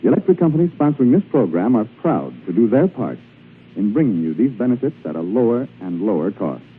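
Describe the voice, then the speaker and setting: A man's voice: lowish voice, very animated, a little hiss or hum. American man, tinny 1940s radio announcer. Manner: formal, measured, promotional delivery. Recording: tinny 1940s radio broadcast, music behind.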